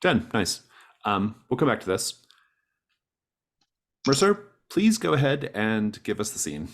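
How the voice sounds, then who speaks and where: A man's voice: lowish voice, very animated, measured pace. A man casually running a tabletop roleplaying game as game master.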